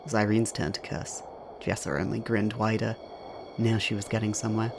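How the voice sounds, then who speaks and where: A man delivers scripted dramatic narration for an audio drama. His voice sits low, with heavy vocal fry. There is clear noisy ambience behind him. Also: steady tone, normal speed.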